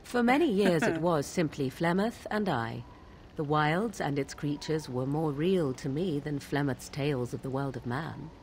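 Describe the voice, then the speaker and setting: A woman's voice: sits low, moderate pace, few pauses, darkish tone, slight background noise. A woman playing a proud, sardonic young witch in a video game, speaking in formal, archaic, disdainful phrases. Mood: proud, reflective. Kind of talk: video game dialogue acting.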